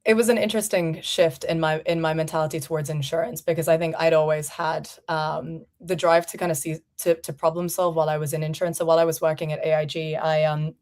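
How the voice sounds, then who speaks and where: A woman from Portugal in her thirties talks through her career as a guest on an interview show. Her voice sits low and stays fairly level, without big swings. She speaks in a reflective, conversational way and often leans on "you know". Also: little pitch movement, fast, hardly any pauses, darkish tone. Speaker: founder and CEO, guest.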